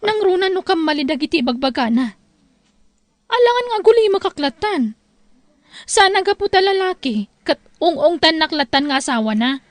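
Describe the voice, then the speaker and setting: Woman doing hurt proud young wife, ilocano accent, really high voice, dry sound, family drama. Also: sing-song, normal speed, no echo. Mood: aggrieved. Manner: aggrieved, protesting.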